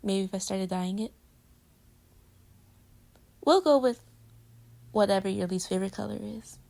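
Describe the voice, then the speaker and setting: Creaky-voiced, teasing girlfriend played by a woman. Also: mid-range voice, unhurried, warm tone. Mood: playful, curious. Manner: casual, teasing, conversational with giggles. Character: playful, teasing, affectionate girlfriend cuddling in bed. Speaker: audio roleplay voice actor. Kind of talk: intimate girlfriend roleplay, pillow talk.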